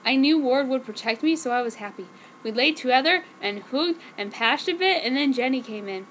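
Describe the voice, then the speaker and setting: A woman's voice: high-pitched, expressive, a little fast, fairly bright tone, slight background noise, rough recording. A woman giving a theatrical, comic dramatic reading of fan fiction, delivering misspelled words exactly as written. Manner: theatrical, reads misspellings literally.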